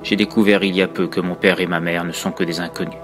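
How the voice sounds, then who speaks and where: A man reciting a horror poem as a morbid, tormented young narrator, in a solemn, measured, literary way. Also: low-pitched, steady tone, pitched below the performer's own voice, lightly acted. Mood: morbid, anguished. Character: morbid, tormented child narrator with murderous urges. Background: music.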